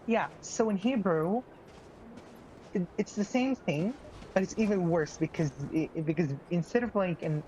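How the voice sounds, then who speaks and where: Giggly, halting woman on a muffled chat mic. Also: on the low side, dark tone, a little hiss or hum. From Israel. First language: Arabic. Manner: halting, restarts words, giggly. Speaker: streamer's partner and co-host. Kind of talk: casual chat during a game stream.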